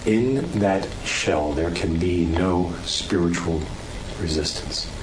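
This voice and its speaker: Actor as very deep, slow, grave lecturer. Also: male voice, background noise. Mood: grave, instructive. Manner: deliberate, didactic, broken into short phrases. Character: grave man explaining malevolent spirits like a lecturer. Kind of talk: audio drama monologue.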